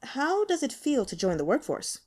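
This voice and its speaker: Gentle scholarly man, played by a female reader, courteous and considerate, lively melodic phrasing, storybook dialogue. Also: high-pitched, moderate pace, pitched above the performer's own voice, full character voice. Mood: friendly, coaxing. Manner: courteous, softly apologetic small talk. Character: polite, gentle male university teacher, considerate and scholarly.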